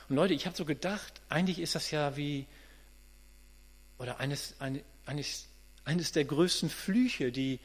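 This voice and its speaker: A man in his late fifties giving a conversational, earnest sermon, often restarting and repeating words as he thinks aloud. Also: a little high. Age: 50s. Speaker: preacher. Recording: reverberant hall with mains hum.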